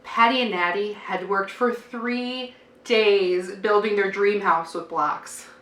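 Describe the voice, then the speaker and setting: A woman reading a children's picture book aloud like a teacher, with expressive, deliberate emphasis and a dramatic sing-song delivery. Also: medium pitch. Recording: roomy, distant microphone, faint noise.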